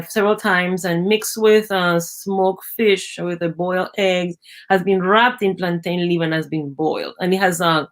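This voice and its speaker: A middle-aged woman from Venezuela with a Venezuelan Spanish accent, a native Spanish speaker and biologist, telling stories in a lively interview, acting out quoted voices and often restarting her phrases. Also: mid-range voice. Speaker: guest, biologist. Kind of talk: conversational storytelling interview.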